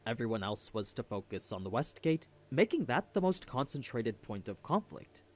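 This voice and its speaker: A man's voice: mid-range voice, sing-song, normal speed. A man giving a scripted story recap as a video narrator.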